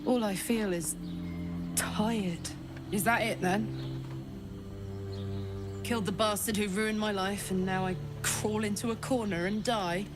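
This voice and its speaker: A woman's voice: medium pitch, fairly even intonation, unhurried, long pauses, over music, close to an everyday voice. A woman acting a brash but tender warrior who is grieving her own coming death in a video game, raw and heartfelt. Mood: drained, bitter.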